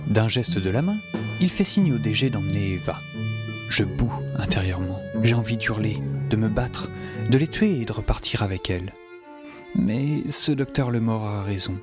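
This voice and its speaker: An actor playing a wry scientist who narrates his own adventure in the first person, in a measured, confiding way. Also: on the low side, lively intonation, over music, close to an everyday voice. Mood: tense, resigned.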